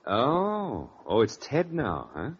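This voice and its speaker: An actor playing a smooth, cocky young American impostor in a breezy, teasing 1940s radio mystery style. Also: male voice, mid-range voice, huge pitch swings, takes it slowly, heavily acted. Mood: cheerful, surprised. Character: smooth, cocky impostor posing as a long-lost brother. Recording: muffled vintage radio recording.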